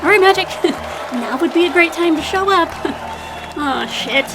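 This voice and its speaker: A woman acting a young female gladiator in an audio drama, with a very high, emotional voice. She is a weary idealist, earnest and defiant, with music playing behind her. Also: lively intonation, unhurried, crowd noise, heavily acted. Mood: weary, tense.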